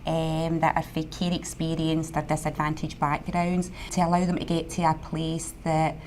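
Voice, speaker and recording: A woman's voice: deep voice, completely flat delivery, moderate pace, darkish tone, a little hiss or hum. A Scottish woman, a mentor in a school, talking earnestly with a former student. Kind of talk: interview conversation.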